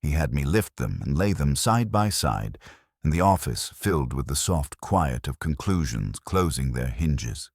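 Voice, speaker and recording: Guy reading a detective story, super deep voice, wry delivery, pitch moves around a lot, no room sound. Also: sing-song, measured pace, dry recording, clean studio sound. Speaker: audiobook narrator. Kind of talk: scripted detective story narration.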